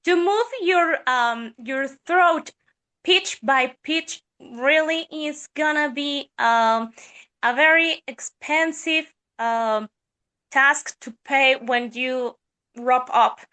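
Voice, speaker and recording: A woman's voice: high-pitched, takes it slowly, bright, forceful tone. A woman soprano discussing singing technique on a podcast, speaking thoughtfully with many hesitations. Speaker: soprano singer.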